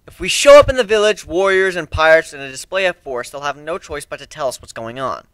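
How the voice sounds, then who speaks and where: A man's voice: fairly high pitch, animated, fairly quick, a bit bright, dry recording, pitched above the performer's own voice, poor audio. A man playing a confident, commanding male pirate crew leader in a fantasy story reading, assertive and reasoning.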